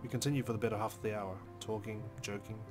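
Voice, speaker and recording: A man reading first-person game narration aloud as a let's play host, expressive, with dramatic, deliberate phrasing. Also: lowish voice. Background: music.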